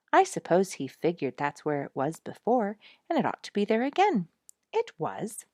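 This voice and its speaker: A woman narrating a children's story in an expressive, animated storytelling style, acting out the key moments. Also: fairly low pitch, no echo, studio quality. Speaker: audiobook narrator.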